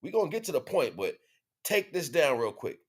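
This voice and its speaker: A man's voice: fairly high pitch, big pitch swings, brisk, slightly rough. A man hosting an informal spiritual teaching livestream, talking in slang with emphatic, repeated phrases. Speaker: livestream host.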